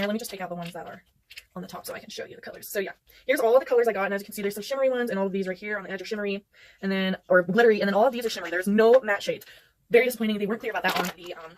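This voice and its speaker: A young woman chatting casually through a makeup tutorial, rambling and poking fun at herself, recorded indoors at night. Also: medium pitch. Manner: rambling, chatty, self-deprecating. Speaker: beauty vlogger. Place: indoors under artificial light at night.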